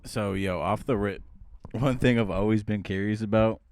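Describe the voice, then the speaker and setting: A man's voice: lowish voice, fairly even intonation, normal speed, vocal fry, darkish tone. A man in his twenties hosting a podcast, asking easygoing, slangy questions in casual chat. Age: 20s. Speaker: podcast host. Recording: faint background noise.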